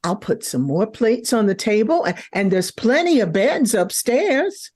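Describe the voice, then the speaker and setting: A woman's voice: on the high side, sing-song, measured pace, darkish tone. An actress playing a kindly older storekeeper's wife in a radio play, homely, motherly and a little hesitant. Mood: welcoming. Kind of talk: old-time radio drama acting.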